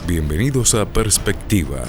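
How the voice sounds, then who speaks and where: A low-voiced man narrates a documentary program as its presenter. His delivery is scripted, with lively, sweeping intonation, and music plays clearly behind him. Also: moderate pace.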